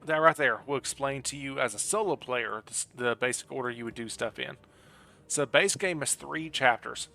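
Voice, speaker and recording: A man's voice: mid-range voice, bright, forceful tone. A man giving casual live game commentary, explaining things in a rambling, easygoing way. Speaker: game streamer.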